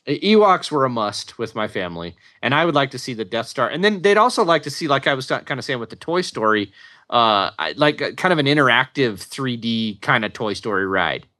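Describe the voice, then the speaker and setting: A man chatting casually as a panel guest, a little halting as he thinks aloud. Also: medium pitch, very animated, sharp tone.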